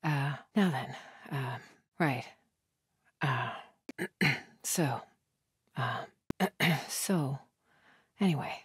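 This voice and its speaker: A woman's voice: extremely low pitch, very slow, long pauses, husky, no room sound, studio quality, full character voice. A woman voicing a wary, fierce female cult soldier in a video game, in short, urgent barks. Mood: low, hesitant. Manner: short, urgent, terse phrases.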